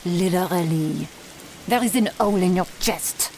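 A woman voicing a menacing, mocking French thrall in an ASMR audio roleplay, speaking with a French accent in a deliberate way. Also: lowish voice, a little mellow, slight background noise, rough recording.

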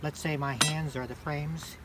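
A man's voice: on the high side, steady tone, unhurried. Older man teaching outdoors, heavy noise. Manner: patient, explanatory, repeats points. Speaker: beekeeping mentor. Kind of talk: casual hands-on instruction. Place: outdoors in a grassy field. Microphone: handheld camera a short distance away. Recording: very noisy outdoor phone video.

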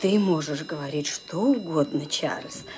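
Fussy elderly widow Mrs Harter. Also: female voice, sits low, measured pace, darkish tone, faint music behind. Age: older. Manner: querulous, fussy, set in her ways. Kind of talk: Soviet-era radio play acting.

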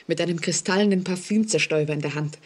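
An actress playing an anxious, distrustful woman in an old German radio play, speaking haltingly with suspenseful drama. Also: lowish voice, close to an everyday voice. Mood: haunted, anxious. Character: frightened, haunted woman living alone, anxious and distrustful. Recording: vintage 1940s radio recording.

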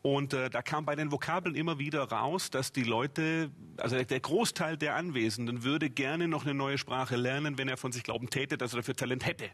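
A man's voice: mid-range voice, a little fast, hardly any pauses, a bit bright. A man in his forties from Swabia, a guest author explaining in an informal, eager way and piling up phrases. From Swabia, Germany. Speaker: memory trainer and author, guest. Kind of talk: animated explanatory interview talk. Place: TV studio at a table.

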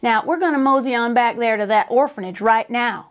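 Woman acting drawling, high-pitched folksy cowboy sheriff. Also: brisk, close to an everyday voice. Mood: scolding. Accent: American. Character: folksy male Wild West sheriff, boastful, well-meaning, dim.

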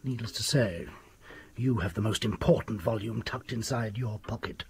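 An actor playing an obsessed, fearful country doctor in a radio drama, with a husky voice and formal, ominous manner. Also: male voice, fairly low pitch, moderate pace, natural voice. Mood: grave, ominous. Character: desperate, frightened country doctor obsessed with the occult.

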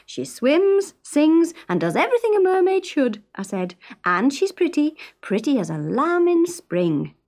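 A woman's voice: sits high, big pitch swings, unhurried, few pauses, darkish tone, voice pushed up, exaggerated voice. A woman voicing a cheerful, boastful working-class father with a Northern English accent in a storybook. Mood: proud, persuasive. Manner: hearty, cheerful.

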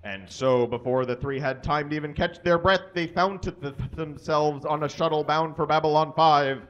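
A man reads a story aloud for comedy from a small stage, in a voice slightly higher than most men's. He delivers it deliberately, stumbling over errors as written. The live recording sounds somewhat dull and muffled. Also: fairly bright tone. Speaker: live reader. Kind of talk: comic dramatic reading of fan fiction to an audience. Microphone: stand microphone on stage, filmed from a distance.

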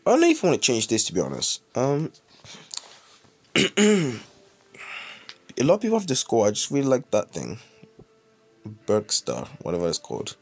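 A young British man with a lively voice commentating his mobile game live, chatty with sudden excited outbursts, saying he is ill. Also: medium pitch, moderate pace, long pauses, slightly rough, faint music behind. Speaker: streamer.

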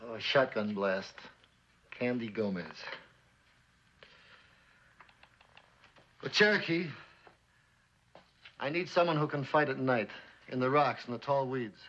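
An actor playing a cynical, bossy former army captain turned police chief with an American accent, in mid-century suspense drama style. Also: male voice, medium pitch, animated, normal speed, long pauses, a bit bright. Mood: grim, intent. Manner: needling, bossy. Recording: dull, lo-fi old drama soundtrack.

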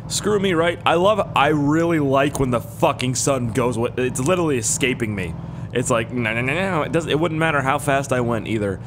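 A young man with a hoarse, strained voice giving casual, profane, self-mocking commentary while playing a game. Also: mid-range voice, a little fast, noisy background. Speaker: gaming YouTuber. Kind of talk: casual let's-play commentary.

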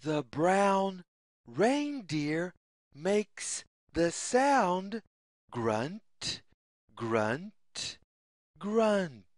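A woman reading a picture book aloud for young children in a deliberate, sing-song way, in short separated phrases. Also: lowish voice, sing-song, no room sound, studio quality. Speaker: children's story reader.

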